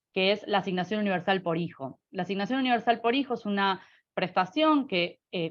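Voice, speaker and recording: Argentine woman doing a webinar talk, mid pitch, kinda halting w lots of repeats, bit dull call audio. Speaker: webinar presenter. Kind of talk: presentation with slides.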